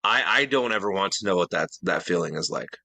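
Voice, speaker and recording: Middle-aged guy cohosting a podcast, low-ish bright voice, keeps restarting, dry mic. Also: expressive, fairly quick, no echo. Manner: earnest, detail-minded, with frequent restarts. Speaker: podcast co-host. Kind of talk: casual chat.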